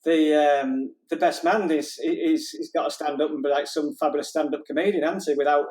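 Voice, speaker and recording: A man speaks in a slightly higher register, telling wedding stories from behind the camera. His talk is casual and anecdotal, broken by easy laughter. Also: steady tone, fairly quick, darkish tone. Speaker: wedding photographer guest.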